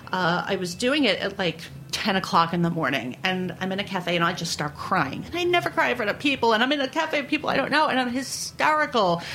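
A woman from Brooklyn, New York, talking candidly and conversationally as an interviewed baker, with animated, sweary storytelling. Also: mid-range voice, a little hiss or hum. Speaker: interviewed baker and food writer.

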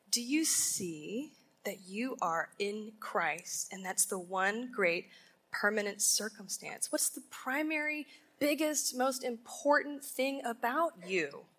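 A woman teaching a sermon at a women's conference in a ballroom, speaking deliberately and emphatically and asking her listeners rhetorical questions. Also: on the high side, fairly bright tone.

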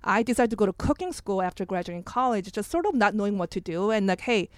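A woman's voice: mid-range voice, expressive, fast talker, no echo. Woman chef, candid and chatty. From Korea. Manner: candid, restarts often, many "like" and "you know". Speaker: chef, interview guest. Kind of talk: casual onstage conversation.

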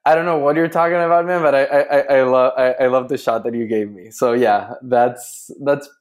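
A man's voice: mid-range voice, steady tone, brisk. A man from Chile, a guest on a podcast, telling a story in casual chat and laughing often. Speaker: guest, drinks brand founder.

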